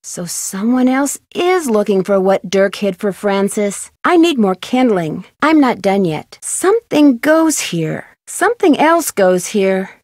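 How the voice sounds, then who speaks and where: A woman voicing an inquisitive, upbeat young female detective in an adventure game, chirpy and expressive as she thinks aloud. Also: mid-range voice, unhurried, few pauses, no echo, studio quality. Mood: musing, curious. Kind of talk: scripted adventure game voice acting.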